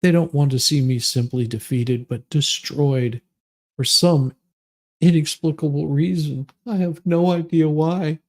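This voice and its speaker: A man voicing a self-pitying, melodramatic woman in a slow, overwrought, mock-solemn dramatic reading of a grievance essay. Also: fairly high pitch, steady tone, a little mellow. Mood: wounded, grave.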